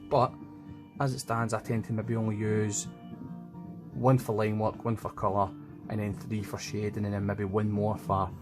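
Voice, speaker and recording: A man with a Scottish accent giving casual commentary as he draws, thinking aloud in an informal way. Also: lowish voice. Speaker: illustrator narrating own drawing process. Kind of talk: casual art vlog commentary. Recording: music playing in background. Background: background music.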